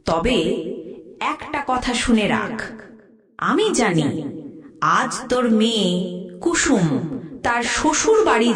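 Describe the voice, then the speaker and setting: A woman voicing a menacing, slow-talking ghost in a horror cartoon, threatening to devour its prey. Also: a little high, fairly bright tone, reverberant, in a large hall, recorded from a distance, heavily acted. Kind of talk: dramatised horror cartoon storytelling.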